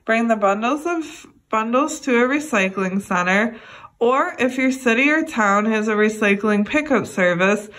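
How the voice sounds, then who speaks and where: A young adult woman reading a children's educational book aloud, addressing young listeners in a deliberate way. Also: fairly high pitch, expressive, fairly bright tone. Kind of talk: read-aloud children's lesson.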